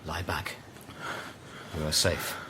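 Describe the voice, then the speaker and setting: A cool, cynical doctor in a radio drama. His very low voice is measured and formal, with ominous gravity, over a noisy background. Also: little pitch movement. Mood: cool reassurance. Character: grave, self-satisfied male doctor, cynical and cool.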